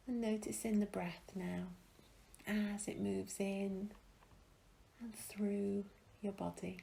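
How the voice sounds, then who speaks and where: Slow, hushed woman guiding a meditation. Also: mid-range voice, level tone. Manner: gentle, hushed, breathy, long pauses. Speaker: meditation guide. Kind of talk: guided meditation, soothing and slow.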